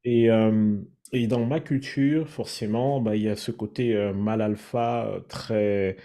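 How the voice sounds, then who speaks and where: A man from Cameroon, sharing his personal story in a thoughtful, measured way. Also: on the low side, fairly even intonation, warm tone. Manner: thoughtful, measured, searching for words. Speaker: guest sharing his testimony. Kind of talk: reflective personal testimony.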